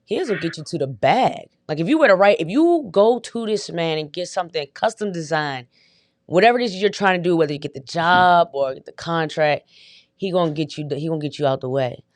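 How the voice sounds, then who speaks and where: A woman's voice: on the low side, animated, brisk, dry recording. A woman hosting a podcast in a hyped, slangy, upbeat way, casually chatting with her guest. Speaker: podcast host. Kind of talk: casual interview chat.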